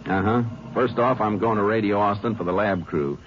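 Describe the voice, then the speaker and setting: Guy doing a steady level-headed lawman, real deep voice, 1950s radio play, muffled sound. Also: normal speed, a little hiss or hum, lightly acted. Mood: calm. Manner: steady, plain-spoken. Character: calm, methodical male Texas Ranger hero. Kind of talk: 1950s radio drama acting and narration. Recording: dull lo-fi old radio recording with music.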